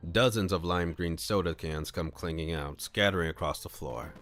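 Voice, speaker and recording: A man's voice: sits low, normal speed, fairly bright tone. A man streaming a read-aloud of a visual novel, narrating and adding casual, playful asides. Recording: music playing behind the voice. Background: music.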